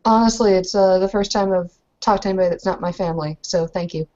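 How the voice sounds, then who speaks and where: A woman's voice: medium pitch, quick pace, warm tone, highs cut off, natural voice. A woman voicing a sheltered, plucky young newlywed in a tabletop game, polite with a teasing edge. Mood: shy, grateful.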